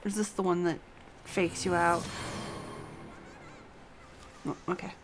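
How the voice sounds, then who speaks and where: A woman's voice: fairly low pitch, flat delivery, a little slow. A woman giving casual live gameplay commentary in short, offhand remarks, talking to herself and the game. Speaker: game streamer. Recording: clearly noisy stream with background ambience.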